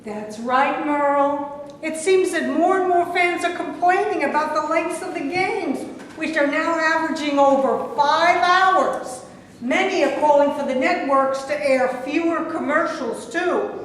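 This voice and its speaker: Far from the mic in a very echoey hall, a woman plays a composed sports anchor. She reads scripted lines slowly in a high voice. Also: fairly bright tone. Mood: composed, brisk. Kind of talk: staged reading of a scripted broadcast. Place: hall at a staged reading. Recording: very echoey hall, distant mic, faint noise.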